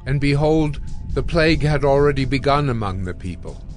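A man narrating scripture from a script for an audio drama, measured and solemn. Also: mid-range voice, animated. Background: music.

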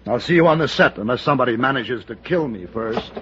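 A man's voice: medium pitch, lively intonation, quick pace, very noisy, natural voice. An actor playing a vain, self-pitying male comedy star under threat, in old-time radio drama style. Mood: defiant. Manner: hesitant, pleading, then boastful. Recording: dull vintage radio recording.

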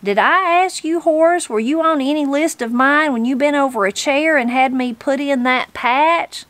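A woman's voice: a little high, sing-song, unhurried, no room sound. A woman voicing a feisty old backwoods granny, blunt, folksy and indignant, as a storybook character. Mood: astonished, indignant.

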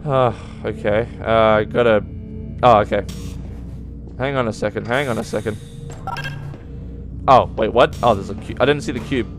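A young man giving casual gameplay commentary, excitable and prone to sudden yelps, his throat slightly dry and hoarse. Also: lowish voice, normal speed, long pauses. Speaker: let's play gamer. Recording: voice over game music.

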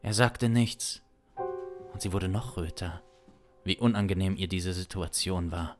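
A young man narrates an improvised audio drama in a very deep voice. He goes very slowly and broodingly, building suspense, with instrumental music clearly behind him. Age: young adult. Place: small home studio, indoors. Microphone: close studio microphone.

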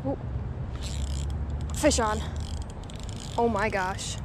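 A woman in her twenties giving excited live commentary while fishing at a creek, gushing with exclamations, recorded on an action camera outdoors. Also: on the high side, drawn-out words. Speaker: fishing vlogger. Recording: outdoor camera mic with water noise. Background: flowing water.

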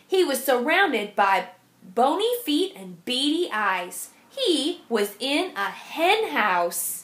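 Lady teacher reading a kids book out loud, slow and emphatic, high voice. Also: sing-song. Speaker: teacher reading aloud to children. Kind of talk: expressive picture-book read-aloud.

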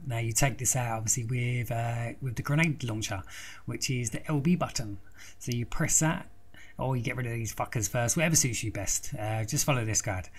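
A young man with a croaky throat giving a rambling, foul-mouthed walkthrough of a war game. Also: mid-range voice. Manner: swears constantly, rambling and chatty. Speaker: gaming video host. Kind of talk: casual profane game walkthrough commentary.

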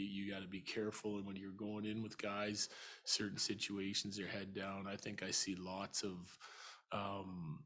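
A middle-aged man answering as a head coach at a postgame press conference, measured and thinking aloud with frequent restarts. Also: deep voice, sharp tone, a little hiss or hum. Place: arena press room. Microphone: table mic.

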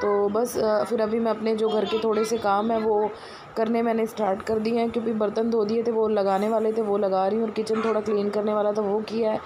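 Hoarse woman in her thirties, rambling housewife vlogger, steady narrow pitch on the high side, phone mic in a kitchen with a noisy motor behind. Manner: rambling, chatty, lots of fillers. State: hoarse with a cold and cough. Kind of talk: casual daily vlog chat. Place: home kitchen, indoors. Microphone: phone camera, selfie then propped up across the room. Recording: noisy phone recording, pump motor running.

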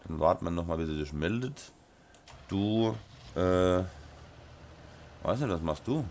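Hoarse, deep-voiced German gamer with a cold. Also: male voice, expressive, unhurried, a little mellow, slight background noise. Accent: Rhine-Hessian German dialect. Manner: mumbling, many ums and uhs, talks to the game. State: hoarse with a sore throat and blocked nose. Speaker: let's play host. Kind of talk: casual gameplay commentary.

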